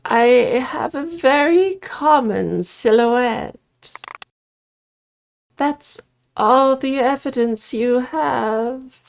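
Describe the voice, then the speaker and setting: A woman voicing a mysterious witch from a visual novel, feigning sweet innocence in a slow, drawn-out, coy and sinister manner. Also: high-pitched, gravelly, slight background noise, pitched above the performer's own voice, full character voice. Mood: feigned innocence. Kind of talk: visual novel character read-aloud.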